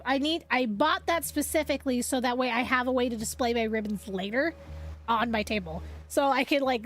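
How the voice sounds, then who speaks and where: A woman's voice: high voice, fairly bright tone. A young woman streaming as a VTuber, chatting casually with viewers in a rambling way, a little sniffly from a cold. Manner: rambling, many restarts and fillers, chatty. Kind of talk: casual livestream chat.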